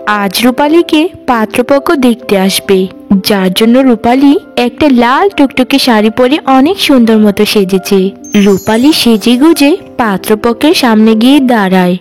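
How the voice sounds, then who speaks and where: A woman's voice: on the high side, animated, unhurried, darkish tone. Narrator: woman, scripted cartoon storytelling, background music. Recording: music under the voice.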